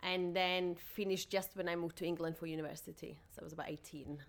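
A woman's voice: lowish voice, steady tone, fast talker, gravelly. A woman in her thirties from Slovakia, chatting casually as a guest in an interview. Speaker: podcast guest.

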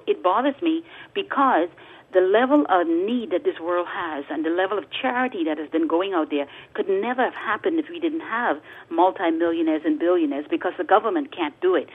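A woman's voice: fairly low pitch, breathy edge. Earnest woman preaching over a phone line. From Bahamas. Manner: earnest, preacherly, repeats words for emphasis. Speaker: guest author. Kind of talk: interview on a talk radio show. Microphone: phone call.

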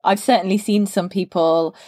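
A woman's voice: medium pitch, fairly even intonation, normal speed, mellow, dry recording, studio quality. A woman hosting a podcast as an English teacher. She reads a scripted intro and then chats casually and enthusiastically with her guest, laughing often. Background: guitar music under the intro.

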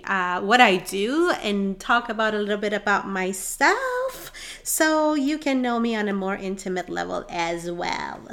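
Woman, thirties, Persian accent, relationship coach, earnest, encouraging, unhurried delivery. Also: mid-range voice. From Iran. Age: 30s. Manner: earnest and encouraging, building to excited emphasis. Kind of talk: heartfelt solo podcast monologue.

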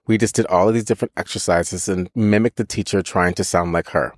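A man co-hosting a podcast, telling a story in a lively, casual way, with frequent laughs, restarts and casual swearing. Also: low-pitched, brisk, dry recording, clean studio sound. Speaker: podcast co-host, voice teacher.

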